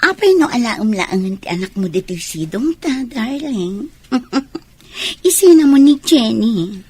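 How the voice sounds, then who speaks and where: A woman playing a sly, husky mistress. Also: a little high, sing-song, unhurried, mellow, no echo, heavily acted. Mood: coaxing. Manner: sly, plotting. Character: scheming mistress, sly and jealous. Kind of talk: radio drama acting.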